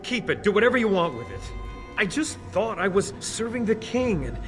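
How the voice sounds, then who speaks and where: Scared, defensive game informant, higher voice, strong score underneath. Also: male voice, big pitch swings, moderate pace. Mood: pleading. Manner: stammering, defensive, pleading. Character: nervous man spying on a castle, frightened and pleading. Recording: game audio over loud music. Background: game music.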